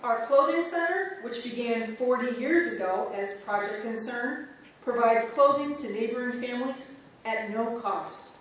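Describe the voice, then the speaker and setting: A woman performing reader's theater, reciting scripted church history in a clear, earnest way, in a church. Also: fairly high pitch, unhurried. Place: church sanctuary. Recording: echoey church from far off.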